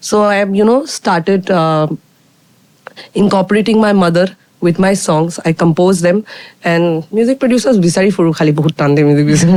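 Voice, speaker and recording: A young woman with a husky voice with a low baritone edge. She is a singer talking in a rapid, chatty way, often saying "like" and "you know". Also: a little mellow. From Guwahati, Assam, India. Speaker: independent singer and co-host. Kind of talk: casual conversation.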